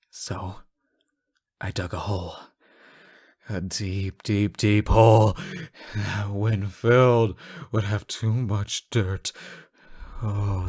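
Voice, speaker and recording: Man acting a low, slow, obsessive fallen angel. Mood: hushed, dark. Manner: theatrical, halting, swinging between hushed murmurs and impassioned outbursts. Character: obsessive yandere fallen angel, male, devoted, unhinged lover. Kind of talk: intimate dramatic audio roleplay monologue.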